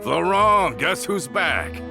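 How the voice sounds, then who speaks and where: A man's voice: high-pitched, big pitch swings, slow pace, creaky, full character voice. An actor playing a talkative, hearty ex-convict henchman with a folksy manner in an anime game. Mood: jovial. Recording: clean studio voice over game music.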